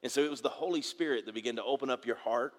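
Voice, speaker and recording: A man preaches to his congregation, his voice a little raspy from the dry air. He talks in a halting way, doubling up words and asking for an amen. His voice carries a long reverb, as if recorded in a large church hall. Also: medium pitch. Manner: halting, repeats words, asks congregation for amens. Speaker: pastor. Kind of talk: sermon. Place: church sanctuary.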